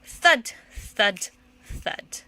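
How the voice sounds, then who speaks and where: A woman's voice: very slow, sharp tone. A woman, a primary school teacher, reading a children's story aloud expressively.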